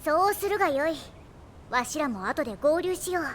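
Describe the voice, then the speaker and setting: A woman's voice: sits high, sing-song, normal speed, slight background noise. A woman voicing a small, haughty, girlish game character who talks in a pompous, archaic old-timer manner, in anime style. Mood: smug, lordly. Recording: music under the voice. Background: loud game music.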